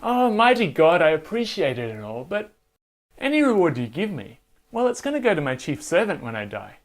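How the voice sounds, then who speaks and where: A man's voice: fairly high pitch, big pitch swings, normal speed. A man voicing an earnest, humble old man in a children's Bible story, with a hesitant, conciliatory manner.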